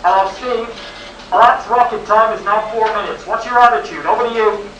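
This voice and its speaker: An actor in a live amateur radio play, playing an urgent, commanding ground controller talking a pilot through a flight. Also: male voice, high-pitched, fairly quick, a bit bright, highs cut off, slight background noise. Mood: brisk, commanding. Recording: distant, echoey live hall recording.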